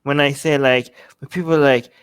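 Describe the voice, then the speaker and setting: A man from Iran co-hosting a political talk show, speaking casually and haltingly, repeating phrases to make his point. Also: medium pitch, fairly even intonation, clean tone, dry recording. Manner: halting, searching for words, repeats phrases for emphasis. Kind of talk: casual, opinionated discussion.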